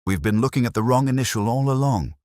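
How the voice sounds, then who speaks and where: A man voicing a shrewd middle-aged male police inspector who speaks quietly and deliberately, with a husky voice. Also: mid-range voice, dry recording, studio quality, voice pushed up, lightly acted. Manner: quiet, measured, probing. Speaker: detective inspector.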